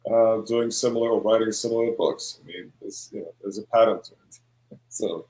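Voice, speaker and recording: Low-voiced hesitant man, echoey room. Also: normal speed, warm tone. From Croatia. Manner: hesitant, many fillers and restarts. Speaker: children's book author, panelist. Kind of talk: panel discussion answer.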